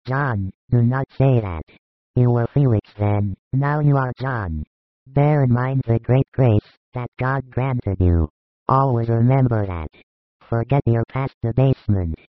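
A man voicing a compassionate, authoritative male spiritual teacher who speaks in a solemn, measured, reassuring way. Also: mid-range voice, no room sound, muffled recording, natural voice. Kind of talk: audiobook reading of a religious narrative.

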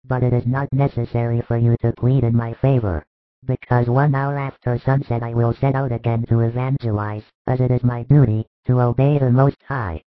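Brief: a man reading a gentle, resolute teacher, louder and steady, thin phone-like audio. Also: mid-range voice, moderate pace, no room sound, faint music behind, lightly acted. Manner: calm, firm, comforting. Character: calm, resolute male teacher, gentle and kind.

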